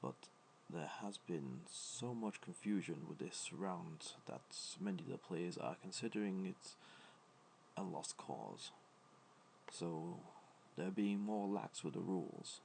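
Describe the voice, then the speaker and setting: Gentle immortal god for ASMR audio roleplay, played by a man: deep, crackly, hushed, fond and unhurried. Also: expressive, full character voice. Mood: tender, reassuring. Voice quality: low, soft, creaky, breathy at times. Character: gentle, ancient male god, fond, comforting, modest. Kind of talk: soft-spoken ASMR comfort roleplay.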